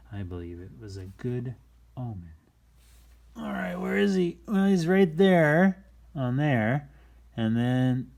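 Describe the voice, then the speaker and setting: Slow-talking man reading a picture book aloud. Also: on the low side. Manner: playful, expressive, dropping into hushed whispers and calling out exclamations. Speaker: children's story-time host reading a picture book aloud. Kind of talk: animated read-aloud with casual asides to young viewers.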